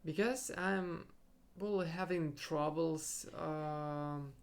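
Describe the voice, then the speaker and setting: A young man whose first language is Russian, thinking aloud in a halting solo podcast monologue and searching for his words. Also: fairly high pitch, fairly even intonation. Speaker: podcast host.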